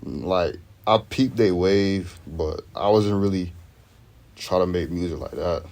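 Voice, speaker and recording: Laid-back young man, deep mellow voice. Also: unhurried. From Sweden. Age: young adult. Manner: laid-back slangy talk. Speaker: rapper guest. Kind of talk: casual interview chat.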